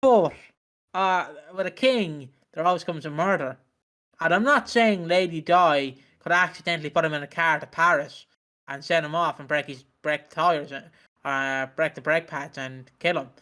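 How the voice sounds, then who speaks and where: Man, podcast co-host, bright tone, slightly high pitch, jokey. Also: normal speed. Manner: jokey, chants playful catchphrases. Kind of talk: casual chat about a TV soap.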